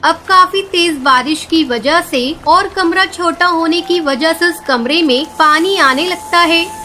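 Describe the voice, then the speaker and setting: A woman's voice: very high voice, background noise. A woman narrating a scripted children's cartoon story.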